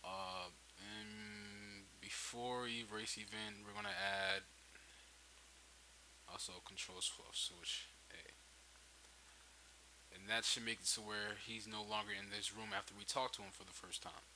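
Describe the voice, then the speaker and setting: A man with a fairly low but strikingly bright, edgy voice hosts a casual tutorial. He talks off the cuff, working things out aloud and doubling back on himself. A light hiss sits behind his home recording. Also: unhurried, gravelly, poor audio. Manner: informal, thinking aloud, backtracking mid-explanation. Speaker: tutorial host. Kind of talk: casual unscripted software tutorial walkthrough. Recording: hissy home microphone, noticeable background noise.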